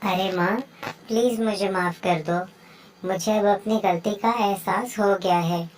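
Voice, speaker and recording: A woman's voice: medium pitch, steady tone, a little slow, lightly acted, rough recording. A woman voicing an eager, playful young bird child in a children's moral story cartoon. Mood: apologetic.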